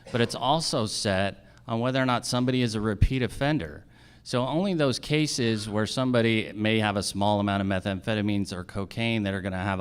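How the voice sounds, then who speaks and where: A man giving measured, explanatory answers as a judicial candidate at a public forum. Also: lowish voice, clean tone.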